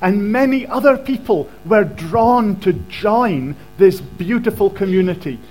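A man preaching a sermon in short phrases, pausing so an interpreter can translate each one. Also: high-pitched, expressive, darkish tone, low-quality recording.

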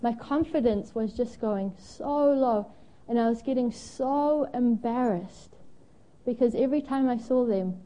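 Young woman preacher from Zimbabwe, warm mellow tone, slightly high, candid storyteller with lots of ums. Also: low-quality recording. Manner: candid, self-deprecating, frequent ums. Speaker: guest preacher. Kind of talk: storytelling sermon.

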